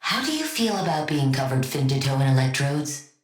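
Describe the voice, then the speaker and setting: A woman's voice: rumbling low, sing-song, brisk. A brilliant, arrogant villainess scientist from a video game. She speaks in a dry, clipped way, with condescending academic wit. Every line sounds sardonic and sure of her own superiority. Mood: cool, analytical. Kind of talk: scripted video game character lines.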